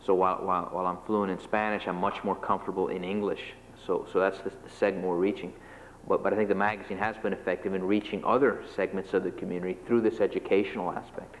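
Deep-voiced man answering on a panel. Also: little pitch movement, a little hiss or hum. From Cuba. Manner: measured, repeats words while starting phrases. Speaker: panelist, magazine publisher. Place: large lecture hall, seated at panel table. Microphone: table microphone. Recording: long hall reverb, faint ambience.

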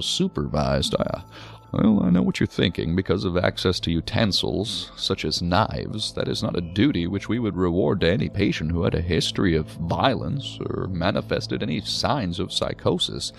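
A wordy, gravelly, swooping psychiatrist. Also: male voice, low voice, sing-song, normal speed, heavily acted. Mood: explaining, hesitant. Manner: wordy, precise, hesitant clinical explaining. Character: talkative, earnest, hesitant male psychiatrist answering investigators. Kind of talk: science fiction audio drama acting.

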